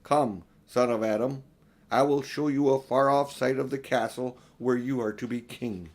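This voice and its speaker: A majestic storybook lion, performed by a man reading aloud. He is solemn and royal, speaking in deliberate, stately proclamations with natural authority. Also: medium pitch, fairly even intonation, a little mellow, natural voice. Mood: commanding. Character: great royal lion king, solemn and commanding.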